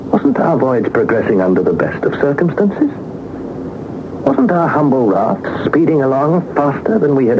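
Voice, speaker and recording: An actor playing an earnest, inquisitive young nephew, speaking in an articulate, formal storytelling manner in a 1960s radio drama. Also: male voice, mid-range voice. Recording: muffled, noisy old radio broadcast.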